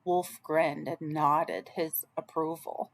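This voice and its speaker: A woman reading a children's story aloud as an expressive storyteller. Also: sits low, slow pace, creaky.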